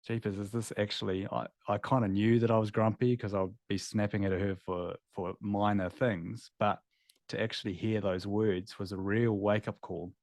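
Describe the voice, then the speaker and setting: Male guest, 30s, New Zealand accent, low voice, candid storyteller with fillers, dry recording. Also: flat delivery, normal speed, a little mellow, no room sound. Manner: candid, reflective, with filler words. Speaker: guest, former veterinarian. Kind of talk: podcast interview storytelling.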